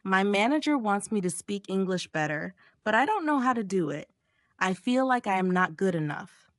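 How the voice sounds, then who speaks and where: A woman's voice: medium pitch, lively intonation, normal speed, no room sound, clean studio sound, lightly acted. A woman voicing a shy young female office designer, worried and earnest, her voice a little raised and hesitant.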